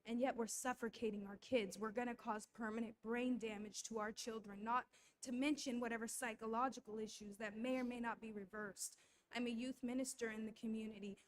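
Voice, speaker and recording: A woman's voice: fairly high pitch, steady tone, fairly quick, a little hiss or hum. Woman making conspiratorial public comment. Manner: conspiratorial, rhetorical tag questions. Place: school board meeting room.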